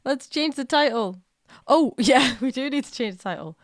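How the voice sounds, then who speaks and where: A woman's voice: high voice, normal speed, dry recording, clean studio sound. Chatty woman with a cold. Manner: chatty, rambling, excitable, with many restarts. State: has a cold, slight cough. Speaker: streamer. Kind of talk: casual live-stream chat. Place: at home.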